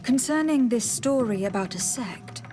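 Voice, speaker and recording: A woman's voice: a little high, expressive, normal speed, mellow, faint music behind, close to an everyday voice. An actress playing a composed noblewoman envoy in a fantasy game, asking polite questions. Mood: calm, inquiring. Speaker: game character actor. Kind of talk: scripted fantasy game dialogue.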